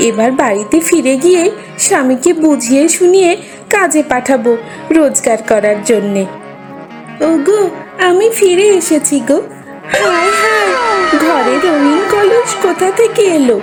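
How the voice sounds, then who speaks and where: A woman's voice: sits high, animated, unhurried, over music. A woman voicing a bossy, shrewd fox wife in a comic cartoon, speaking in an emphatic, questioning and commanding way. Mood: resolved.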